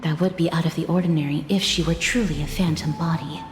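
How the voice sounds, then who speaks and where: A wary young woman from a fantasy game, voiced by an actress, solemn and formal, with a slightly low voice. She speaks in stern, measured phrases. The sound is reverberant like a large hall, with music underneath. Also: steady tone, close to an everyday voice. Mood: wary, hushed. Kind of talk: video game voice acting.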